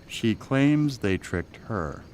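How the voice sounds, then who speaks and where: Animated, flustered sidekick cop. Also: male voice, mid-range voice, slow pace, slight background noise. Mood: mock solemn. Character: prankish, apologetic sidekick policeman. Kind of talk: audio drama acting.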